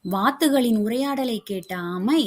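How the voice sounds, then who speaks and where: High-voiced woman narrating children's stories. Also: unhurried. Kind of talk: scripted storybook narration.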